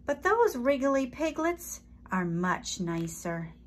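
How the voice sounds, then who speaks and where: Storybook mother hen, played by a woman: downhearted, sighing, gentle, slow delivery. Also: high-pitched, very animated, pitched above the performer's own voice, heavily acted. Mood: wistful, sad. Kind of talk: children's storybook character voice.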